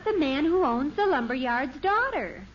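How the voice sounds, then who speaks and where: A woman's voice: high-pitched, lively intonation, moderate pace. A woman playing an earnest, chattering American teenage girl who wheedles her father in a 1950s radio sitcom. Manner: breezy, earnest, gushing. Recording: dull, hissy old radio recording.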